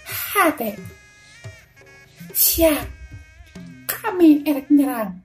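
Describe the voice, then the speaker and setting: A woman performs a proud young warrior maiden in a storybook tale. Her high voice moves very slowly and deliberately, full of defiance, over faint music. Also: sing-song, darkish tone, full character voice. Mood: resolute.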